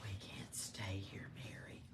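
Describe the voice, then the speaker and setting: A woman voicing a grave, protective husband in a storybook, speaking softly and urgently in a breathy hush. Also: measured pace, close to an everyday voice. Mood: hushed urgency. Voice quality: soft, hushed, breathy whisper. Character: kind-eyed man, urgent and wary protective husband. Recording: faint background room noise.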